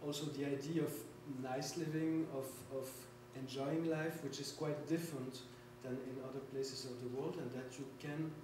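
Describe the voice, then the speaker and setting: A man's voice: medium pitch, fairly even intonation, normal speed, few pauses. A Belgian man giving a thoughtful remark in a discussion, stammering and repeating words. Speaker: audience member in discussion. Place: large public hall. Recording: echoey hall, distant mic, hum.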